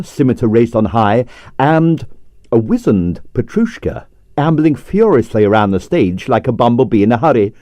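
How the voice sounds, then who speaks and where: A man's voice: mid-range voice, no echo. A man reading a novel aloud as an audiobook, telling it expressively. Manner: expressive, lively storytelling. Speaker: audiobook reader. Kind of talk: scripted audiobook narration.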